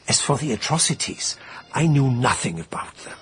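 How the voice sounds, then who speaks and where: An actor playing a proud, aggrieved middle-aged prisoner on trial in a radio drama, speaking formally and with measured indignation. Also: male voice, mid-range voice, very animated, a little hiss or hum, natural voice. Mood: bitter, indignant. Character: imprisoned Nazi minister on trial, proud, aggrieved husband.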